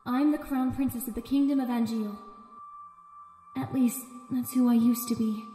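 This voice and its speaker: A woman's voice: on the high side, steady tone, moderate pace, mellow, slight background noise, close to an everyday voice. A woman voicing a proud, forlorn young crown princess in a scripted visual novel monologue, in echoey reverb. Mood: solemn. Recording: echoey reverb effect over music.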